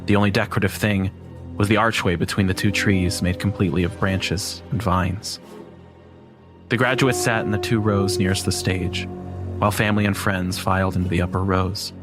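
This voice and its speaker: A man's voice: low-pitched, fairly even intonation, moderate pace, music in the background. A man narrating a scripted first-person horror story.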